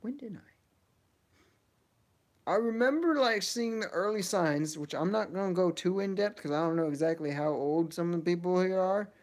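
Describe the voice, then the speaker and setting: A young man in his early twenties with a fairly high male voice that often slips into fry. He talks like a game streamer hanging out with chat, loose and slangy, taking questions as they come. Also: normal speed, darkish tone. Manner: laid-back, slangy. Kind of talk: casual livestream chat, reading and answering viewer questions.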